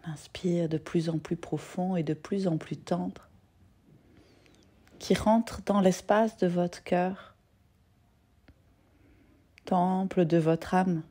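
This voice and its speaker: Very slow, mellow woman guiding meditation. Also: on the low side, fairly even intonation, husky. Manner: gentle, soothing, soft-spoken with long pauses. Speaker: yoga nidra teacher. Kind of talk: guided meditation.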